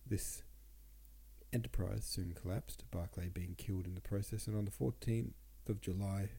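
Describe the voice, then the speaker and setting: Deep gravelly congested man. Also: normal speed. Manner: reads verse aloud with relaxed asides. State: sniffly, congested. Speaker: podcast host. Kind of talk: casual poetry reading and commentary.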